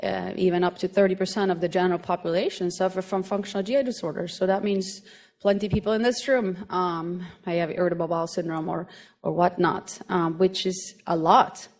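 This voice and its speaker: A woman from Finland with a Swedish accent, giving an academic medical lecture to a room of doctors in an explanatory, gently humorous way with frequent hesitations. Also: lowish voice, fairly even intonation, brisk. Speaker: grand rounds lecturer, pediatric gastroenterologist.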